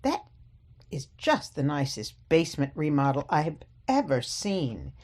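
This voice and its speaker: Woman playing genteel, slow-spoken elderly mother. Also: sits low, big pitch swings, heavily acted. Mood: sweet, wistful. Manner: gently chiding, coy. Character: genteel, evasive elderly mother, sweet but stubborn. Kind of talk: radio play acting.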